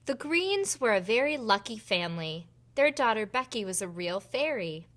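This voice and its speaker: A woman reading a picture book aloud for preschoolers in an expressive storytelling manner. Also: a little high, normal speed. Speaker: children's storybook reader and host.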